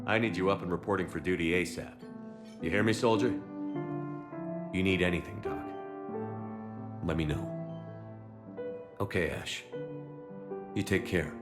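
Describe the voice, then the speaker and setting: A steadfast, commanding soldier of a space opera game. His voice sits fairly low. It rings in a large reverberant space. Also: measured pace, long pauses. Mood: gentle concern. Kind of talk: video game dramatic dialogue. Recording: hall reverb, music behind.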